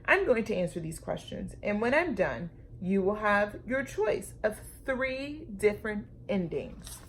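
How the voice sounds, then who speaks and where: A woman reading a children's story aloud with expressive, deliberate phrasing and dramatic emphasis. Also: mid-range voice, a little hiss or hum. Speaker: storytime reader.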